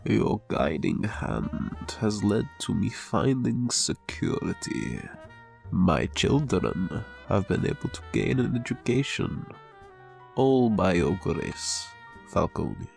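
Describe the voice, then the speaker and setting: Man playing a gravelly, slow, courteous gangster. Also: on the low side, very animated, a little mellow, soft background music, exaggerated voice. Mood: respectful, uneasy. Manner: formal, deferential, careful. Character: courteous, ailing, deferential gangster associate. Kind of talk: audio drama acting.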